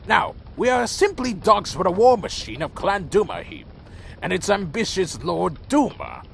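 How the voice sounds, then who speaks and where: Ancient vampire leader, bitter n menacing, swings all over in pitch, gravelly, audio drama w faint music. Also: male voice, sing-song, slight background noise, heavily acted. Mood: bitter, proud. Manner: slow, theatrical, brooding and menacing. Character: proud, bitter ancient vampire clan leader, contemptuous of humans. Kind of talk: dark fantasy audio drama monologue.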